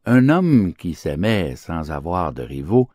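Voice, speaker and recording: A man narrating verse fables as a storybook audiobook, in a measured, theatrical recitation. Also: low voice, big pitch swings, darkish tone, dry recording, clean studio sound.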